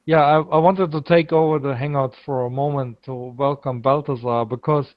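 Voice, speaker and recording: A man's voice: mid-range voice, steady tone, moderate pace, no echo, highs cut off. A man chatting casually on a group video call, hesitant and often repeating words as he searches for English. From Germany. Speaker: call participant. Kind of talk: casual online group chat.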